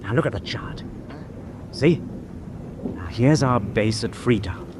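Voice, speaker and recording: Steady seaman, rumbling background. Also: slow pace, background noise. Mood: steady, cautioning. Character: level-headed British merchant seaman prisoner, leading his mates. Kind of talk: wartime naval radio drama. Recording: clear rumbling ship ambience behind.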